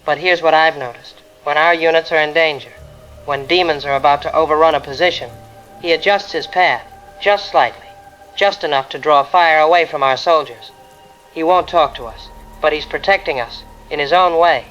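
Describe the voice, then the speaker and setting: An actor playing an earnest, awed military man giving deliberate testimony in an audio drama. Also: fairly high pitch, fairly bright tone, natural voice. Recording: dull band-limited sound, music behind.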